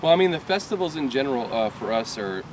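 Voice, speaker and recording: A man's voice: mid-range voice, big pitch swings, quick pace. A young man from Michigan, a rock band singer, chatting casually in an interview with rambling restarts and frequent laughs. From Michigan, USA. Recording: far from the mic, loud traffic noise.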